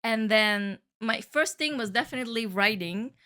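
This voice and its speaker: A young woman from Northern Cyprus, a native Turkish speaker, musing softly about how she started creating. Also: on the high side, animated, normal speed. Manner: soft, musing, many fillers. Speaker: musician sharing her story. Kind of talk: reflective personal monologue.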